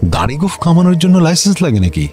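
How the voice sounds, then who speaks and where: An actor playing an officious, impatient district magistrate in a comic radio drama, curt and full of questions. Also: male voice, fairly high pitch, very animated, quick pace, mellow, faint music behind. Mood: impatient, baffled.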